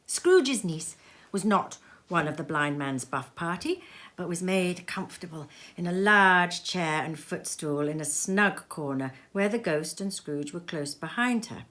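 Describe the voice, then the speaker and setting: A woman narrating a storybook expressively, with dramatic, deliberate emphasis. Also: lowish voice, lively intonation, a bit bright. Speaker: storybook reader.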